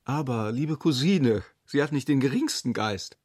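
Cool, ironic gentleman, closely recorded. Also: mid-range voice, expressive, normal speed, breathy edge, no echo, studio quality. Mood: ironic, probing. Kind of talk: radio drama acting.

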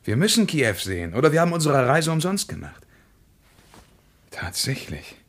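An actor playing a determined, wry traveller in a radio play, speaking tersely. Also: male voice, a little high, very animated, normal speed. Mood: wry insistence.

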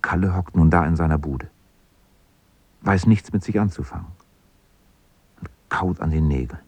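A man's voice: deep voice, normal speed, husky, no room sound. A man narrating a children's radio play as a composed storyteller, reading from a script.

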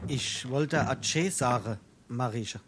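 Schauspieler als wehleidiger junger Hesse, mittlere Stimmlage. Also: male voice, mid-range voice, slow, a little hiss or hum, close to an everyday voice. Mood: lovelorn. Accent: Darmstadt Hessian. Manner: plaintive, self-pitying. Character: lovesick, melodramatic young journeyman, gloomy and naive. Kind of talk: stage dialect comedy acting.

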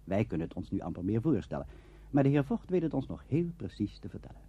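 A man narrating a documentary in a formal, measured storytelling manner. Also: lowish voice, big pitch swings, dark tone, slightly rough. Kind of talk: scripted narration. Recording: dull lo-fi archive tape.

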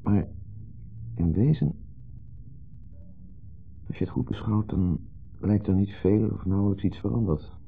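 An actor playing an insecure, jealous husband in a 1970s radio play, hesitant, fretful and full of questions. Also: male voice, deep voice, gravelly, husky, full character voice. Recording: muffled narrow-band 1970s radio recording.

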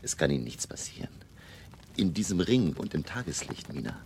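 An older man playing a grave vampire hunter in a German radio drama, narrating his diary solemnly with a gravelly voice. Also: very animated, brisk, a little mellow, slight background noise, full character voice. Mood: urgent, reassuring. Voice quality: very deep, gravelly. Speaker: narrator and actor.

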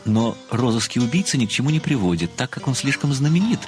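A man acts out an earnest, meticulous pensioner who sleuths as a devoted amateur. His speech is formal and deferential, middling in pitch, with a theatrical audio-drama delivery. Music plays clearly behind him. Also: sing-song, fast talker, darkish tone, natural voice. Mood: rapturous. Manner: formal, deferential, precise, declamatory when enthused.

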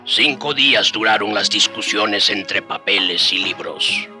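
A grave native storyteller in a radio serial. His voice is low yet very forceful and bright, solemn and proud, with music behind it. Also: unhurried. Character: grave native narrator recalling the conquest he resisted. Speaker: narrator. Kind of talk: dramatized radio serial narration.

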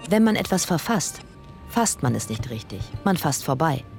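Philosophising female chorus voice, aphoristic, gravelly, animated intonation, experimental radio drama. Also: deep voice, moderate pace, soft background music, heavily acted. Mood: pointed. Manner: aphoristic, pointed. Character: philosophising woman in a cryptic radio-play chorus.

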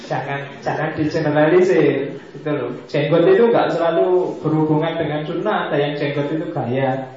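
A man, his voice pitched a little high, lectures on philosophy in a casual, joking way and drifts into asides. He is recorded in a large mosque hall that fills with long echo, and the sound is dull and lo-fi. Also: moderate pace, a little mellow. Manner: joking, mixes Javanese asides.

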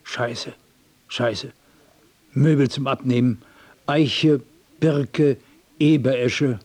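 An actor playing a distraught, irritable loner in a radio drama, musing in broken repetitions and turning cranky. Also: male voice, mid-range voice, fairly even intonation, extremely slow, a little mellow. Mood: brooding, agitated. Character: distraught, irritable, restless loner adrift in the world. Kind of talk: literary radio drama monologue and dialogue.

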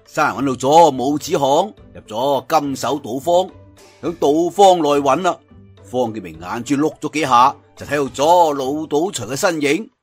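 A man's voice: on the high side, moderate pace, breathy edge, faint music behind. A man with a Cantonese accent telling a story in a dramatised traditional storytelling style.

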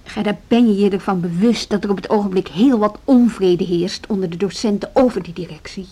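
An actress playing a determined younger deputy headmistress in a radio drama, insistent and argumentative. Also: female voice, mid-range voice, a little fast, warm tone, husky, close to an everyday voice. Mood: firm, insistent. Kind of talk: Dutch social radio drama acting.

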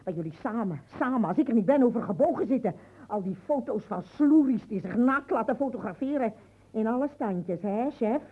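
A woman's voice: on the high side, animated, fairly quick, breathy edge. A woman acting a bitter, accusing woman who taunts the men around her in a Dutch radio play. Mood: bitter, taunting. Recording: muffled phone-like old radio recording.

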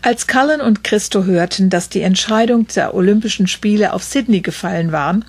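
A woman hosting a podcast, reading a prepared introduction in a measured way. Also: mid-range voice, breathy edge, no echo. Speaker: podcast host. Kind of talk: scripted narration.

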